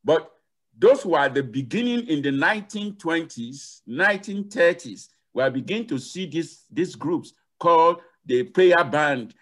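An older man speaks as an academic guest on a video call. His voice sits fairly high and swings widely in pitch. He explains emphatically, repeating key phrases for weight. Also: expressive, unhurried, fairly bright tone. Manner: emphatic, repeats key phrases, asks and answers own questions. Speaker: university lecturer, guest expert. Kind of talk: explanatory interview talk. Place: indoor room at home. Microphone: headset on a video call.

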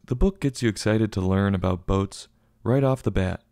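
A man's voice: on the low side, moderate pace, gravelly, dark tone, no room sound, studio quality. A man hosting a review show, reading a scripted review in a tongue-in-cheek manner. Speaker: book review show host.

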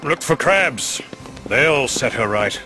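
An actor playing a roguish, swaggering pirate captain in a video game, with a British accent and flowery, slurred speech. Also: male voice, medium pitch, expressive, takes it slowly, over music. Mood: sly, brisk. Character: roguish, theatrical pirate captain, a sly man. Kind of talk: dramatic video game cutscene acting.

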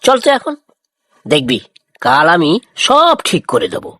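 A woman voicing a kind, patient village farmer father in a moral cartoon, gently coaxing and reassuring. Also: low voice, wildly swooping pitch, measured pace, husky, dry recording, studio quality, heavily acted. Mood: gently consoling. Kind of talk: Bengali moral cartoon dialogue.

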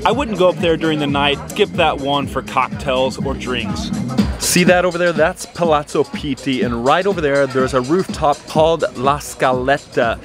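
A man's voice: a little high, moderate pace. An enthusiastic, emphatic man in his forties presenting an upbeat travel vlog to a handheld camera outdoors. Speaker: travel vlogger. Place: outdoors, city streets and an open rooftop terrace. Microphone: handheld camera at arm's length. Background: music.

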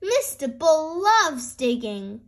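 Woman, children's story read-aloud, high-pitched, animated, very slow, deliberate. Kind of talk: slow, expressive picture-book read-aloud for young children.

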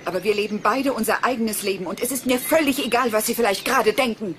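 An actress playing a cool, proud, guarded wife in a German crime drama, speaking in a clipped, defensive way. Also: female voice, a little high, quick pace, a little hiss or hum, close to an everyday voice. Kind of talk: German dubbed TV crime drama as radio play.

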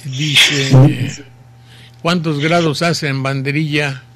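Slow, rambling older Mexican man. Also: fairly high pitch, fairly even intonation, a loud crowd. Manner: rambling, folksy, slow and unhurried. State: sore throat. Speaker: radio host. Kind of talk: casual on-air commentary reading messages. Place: home study with bookshelves.

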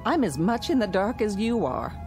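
An actress playing a composed, curious schoolteacher in a radio drama. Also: female voice, mid-range voice, very animated, moderate pace, over music. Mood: wry. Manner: wry and composed.

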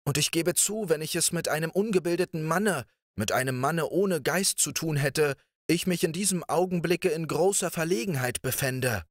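Man doing a young princess in a storybook, polite but firm, higher voice, dry sound. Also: moderate pace, hardly any pauses, dry recording, natural voice. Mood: hesitant. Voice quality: polite, reasoning, raised pitch. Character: proud, clever young princess.

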